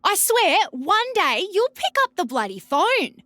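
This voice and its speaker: A woman's voice: very high voice, big pitch swings, unhurried, pushed and bright, dry recording, full character voice. An actress with an Australian accent playing a blunt, outdoorsy, no-nonsense female video game agent. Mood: exasperated, uneasy. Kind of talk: voicemail, video game acting.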